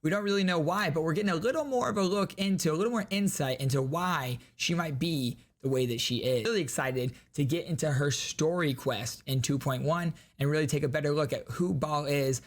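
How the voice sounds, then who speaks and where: A man's voice: a little high, a little fast, few pauses. A man hosting a gaming video, giving casual, enthusiastic reaction commentary in slangy language.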